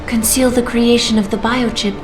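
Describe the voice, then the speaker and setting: A woman voicing a calm, persuasive AI. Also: fairly high pitch, moderate pace, lightly acted. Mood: calm persuasion. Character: calm female artificial intelligence, measured and persuasive. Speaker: AI guiding the player through a choice. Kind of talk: video game voice acting. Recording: roomy game audio with clear music.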